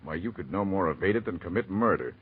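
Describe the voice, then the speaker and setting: A man's voice: low-pitched, lively intonation, quick pace, slightly rough, exaggerated voice. An actor playing a kindly older senior doctor in a 1940s radio drama, fatherly, folksy and a little hesitant. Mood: warm, weary, reassuring. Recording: tinny old radio recording.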